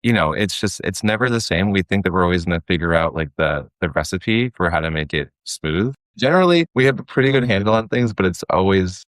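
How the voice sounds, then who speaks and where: A man's voice: deep voice, animated, brisk, few pauses, no echo. A man in his thirties giving casual, conversational answers as a podcast guest. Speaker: podcast guest, audio drama director.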